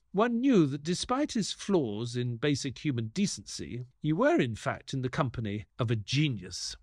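Man playing gentleman sidekick, audio drama narrator, lightly raised pitch, lively intonation, music underneath. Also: dry recording, studio quality.

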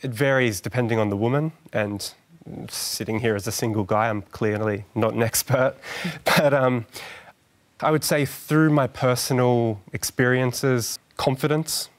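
A man in his thirties with a soft voice, speaking gently and thoughtfully as a guest on a studio panel. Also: mid-range voice, unhurried. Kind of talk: studio discussion. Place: large television studio.

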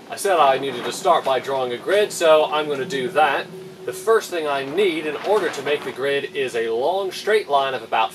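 A man's voice: on the low side, distant mic, slight background noise. A young man explaining his boatbuilding project to camera in a plastic-sheeted workshop, methodical and dryly humorous. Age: young adult. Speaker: boatbuilding vlogger. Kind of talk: explaining a woodworking build to camera.

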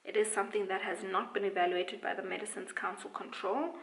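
A woman's voice: mid-range voice, little pitch movement, fairly quick, vocal fry, a bit bright. A woman in her twenties chatting casually to camera, playful and emphatic, often repeating words for stress. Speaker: vlogger, dancer and dance instructor. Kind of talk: casual vlog chat to camera. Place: small room at home, indoors. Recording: roomy indoor echo.

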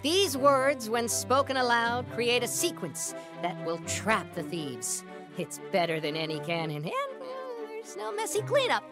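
A man voicing a small, anxious, theatrical creature who keeps magic books in an animated game. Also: extremely high pitch, a little slow, music in the background, heavily acted. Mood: grandly earnest. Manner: theatrical, flustered, grandiose. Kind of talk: animated game cutscene voice acting.

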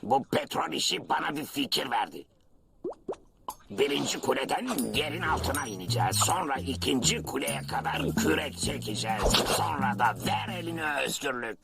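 A bossy cartoon outlaw with a heavily creaky, gravelly voice. He plots and gives orders in a short-tempered way, with loud music playing underneath. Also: mid-range voice, expressive, moderate pace. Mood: scheming, commanding. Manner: bossy, plotting. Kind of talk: animated cartoon dub acting.

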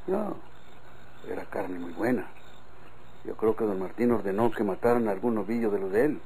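An actor playing an easygoing, trusting country husband in a Mexican radio drama, with a creaky voice, colloquial manner and Mexican accent. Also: male voice, fairly low pitch, lively intonation, normal speed, dark tone, low-quality recording. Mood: contented, sated. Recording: old radio recording, faint noise.

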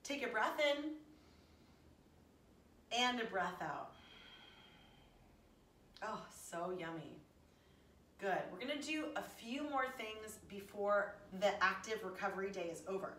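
Bright-voiced woman, roomy distant recording. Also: medium pitch, animated, takes it slowly, pauses often. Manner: chatty, encouraging. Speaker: fitness instructor. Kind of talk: casual guided stretching workout instruction. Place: living room at home. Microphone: camera a few meters away. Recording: roomy, distant mic, faint room noise.

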